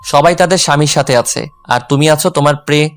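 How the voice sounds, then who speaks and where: A man's voice: on the high side, a little fast, faint music behind, lightly acted. A man playing a jealous, teasing young husband in a dramatized romantic audio story. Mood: jealous, hurt. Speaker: narrator and male lead of an audio love story.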